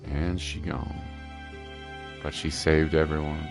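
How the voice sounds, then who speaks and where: A man's voice: very deep voice, flat delivery, slow. A man giving casual, laid-back gameplay commentary as a streamer, reacting appreciatively. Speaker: game streamer. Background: game music.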